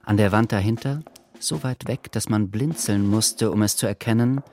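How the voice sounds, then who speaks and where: A man's voice: fairly low pitch, moderate pace, a little mellow, a little hiss or hum. A man narrating a literary radio drama from a script.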